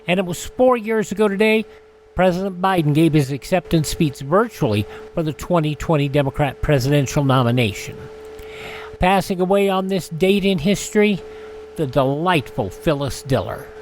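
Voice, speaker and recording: Male radio presenter, slightly high pitch, history rundown with wry asides, faint background noise. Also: normal speed, a little mellow, rough recording. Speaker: radio host.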